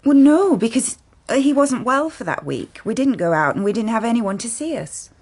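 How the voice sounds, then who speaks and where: An actress playing a forthright wife and mother, husky and casual, in a radio drama. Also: fairly high pitch, sing-song, moderate pace, a little mellow, full character voice. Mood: helpful. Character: plain-spoken wife and mother at home.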